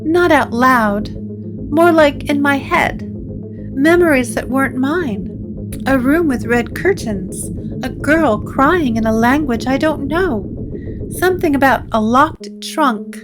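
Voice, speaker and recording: A woman voicing a haunted, higher-voiced troubled woman. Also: expressive, slow pace, over music. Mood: uneasy, haunted. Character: troubled woman haunted by a possessed doll, hesitant. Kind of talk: gothic audio drama acting.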